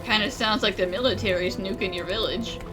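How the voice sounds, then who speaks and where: A woman's voice: mid-range voice, a little fast, over music, low-quality recording. A woman giving casual, joking commentary as she plays through a game. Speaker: game streamer.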